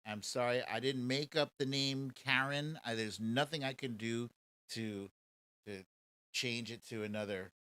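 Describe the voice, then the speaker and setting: A man's voice: medium pitch, measured pace, sharp tone, smooth, no room sound. An American man hosting a livestream, chatting casually and mockingly as he comments and answers viewer questions in colloquial speech. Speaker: host of a live commentary show.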